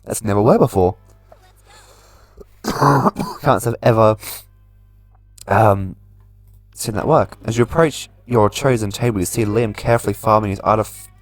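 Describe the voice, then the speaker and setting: A man's voice: on the low side, a little slow, long pauses, no echo. A man doing casual video game commentary, reading the on-screen story aloud and adding his own remarks. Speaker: gaming video host. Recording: faint game music behind a dry voice. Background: music.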